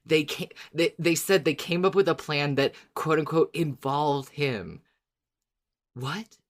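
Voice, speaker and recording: A podcast co-host chatting casually, theorizing out loud in an informal, wry way with some swearing. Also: medium pitch, takes it slowly. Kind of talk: casual fan discussion podcast.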